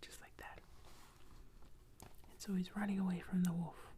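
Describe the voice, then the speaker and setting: A woman telling an ASMR bedtime story in a hushed, breathy whisper, close to the microphone. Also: on the low side, flat delivery, a little slow.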